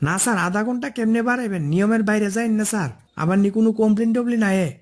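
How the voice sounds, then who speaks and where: পুরুষের গলায় চড়া গলার ফন্দিবাজ অফিস কেরানি. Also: male voice, high-pitched, racing, lightly acted. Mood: anxious, wheedling. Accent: eastern Bengali dialect. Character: scheming, cocksure male office clerk, eager meddler. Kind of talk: comic cartoon dialogue.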